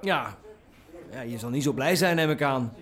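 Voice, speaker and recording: An actor playing a cautious, placating small-time smuggler in a radio drama, reasoning in a hesitant way. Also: male voice, medium pitch, expressive, moderate pace, fairly bright tone, lightly acted. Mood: wary, placating.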